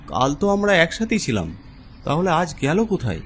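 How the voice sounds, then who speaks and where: A man's voice: fairly high pitch, wildly swooping pitch, normal speed, a little hiss or hum, voice pushed up. A man playing a young village man in a horror cartoon, earnest and questioning as he seeks his friends. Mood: concerned, searching. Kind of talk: cartoon horror story dialogue.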